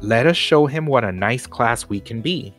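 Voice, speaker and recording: A man's voice: mid-range voice, lively intonation, normal speed, a bit bright, faint music behind, natural voice. A man voicing a prim, patient woman schoolteacher who scolds politely, reading a storybook. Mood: polite scolding.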